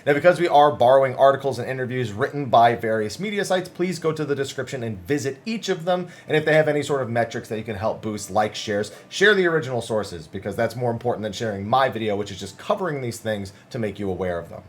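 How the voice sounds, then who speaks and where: A man's voice: medium pitch, fast, hardly any pauses. Man giving casual gaming news commentary. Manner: chatty, conversational asides. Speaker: host of a gaming news video channel.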